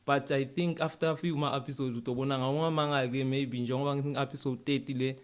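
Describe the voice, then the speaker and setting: A man's voice: mid-range voice, fairly even intonation, quick pace, clean tone. A man with a South African accent hosting a casual interview and switching between languages as he talks. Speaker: podcast interview host.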